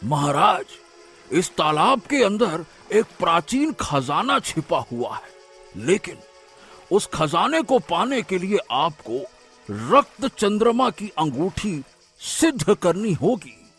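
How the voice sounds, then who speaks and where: Sinister cartoon sorcerer, husky and slow, wheedling a king, music behind. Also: sits high, very animated, a bit bright, exaggerated voice. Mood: ominous. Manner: wheedling, drawn-out, chuckling delivery. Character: sly sinister tantric sorcerer flattering a king. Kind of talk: cartoon story voice acting.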